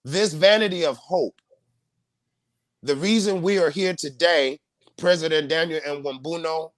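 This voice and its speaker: A man's voice: a little high, a little slow, no echo. A man hosting a live online panel, speaking emphatically and repeating key phrases in a preaching cadence over a video call. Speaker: host.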